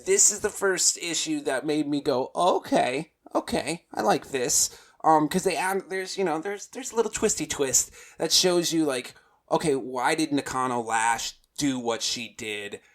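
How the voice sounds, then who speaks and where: A man's voice: on the high side, a little slow. A man chatting casually as a panelist on a comics review podcast, in a conversational style. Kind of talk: casual chat.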